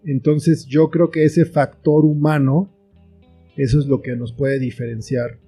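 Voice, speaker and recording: Middle-aged Mexican male interview guest, reflective, higher-set but very mellow voice, over guitar music. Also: flat delivery, unhurried. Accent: Mexican Spanish. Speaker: interview guest, writer and filmmaker. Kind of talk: conversational interview. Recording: faint guitar music behind. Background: soft plucked guitar music.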